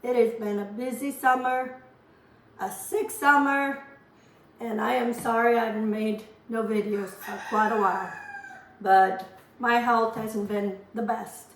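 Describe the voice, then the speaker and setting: Hoarse, woman host; unhurried, homely kitchen chatter, slightly high voice, recorded a step back from the mic. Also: fairly even intonation, echoey room, distant mic, a little hiss or hum. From Azores. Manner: chatty, homely, rambling asides. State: hoarse from a lingering cough. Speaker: cooking channel host. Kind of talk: casual cooking demonstration. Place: home kitchen.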